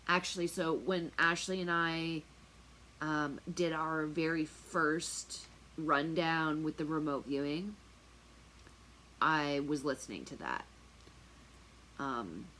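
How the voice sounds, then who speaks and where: A woman talking casually to camera as a tarot reader, chatty and rambling with lots of fillers, with a loud, projecting voice. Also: low-pitched, slight background noise, low-quality recording. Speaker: tarot reader and remote viewer. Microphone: noise-canceling earphones on.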